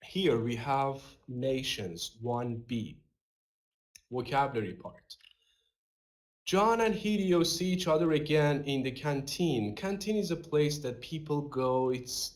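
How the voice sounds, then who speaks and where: A young man recording an online English lesson from a small room on his webcam, slowly explaining words and reading workbook dialogue aloud. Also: mid-range voice. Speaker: English teacher.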